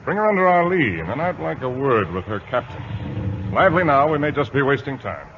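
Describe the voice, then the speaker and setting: An actor in an old radio drama playing a cool-headed, wry Royal Navy captain with a measured, authoritative manner. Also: male voice, medium pitch, big pitch swings, music in the background. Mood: dry, commanding. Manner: measured, authoritative, dryly understated. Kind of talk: 1940s-50s radio drama acting. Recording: muffled old radio broadcast, audience noise.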